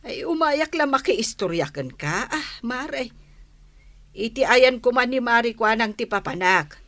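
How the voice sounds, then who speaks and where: Nosy, bright-voiced village gossip woman. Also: on the high side, expressive, a little slow, dry recording. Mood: insistent. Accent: Ilocano. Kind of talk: Ilocano radio comedy drama acting.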